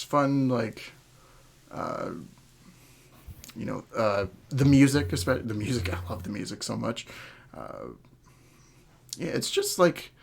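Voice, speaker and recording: A man hosting a casual podcast chat, talking in a halting, hedging way as he thinks aloud. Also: medium pitch, creaky, husky. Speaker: podcast host.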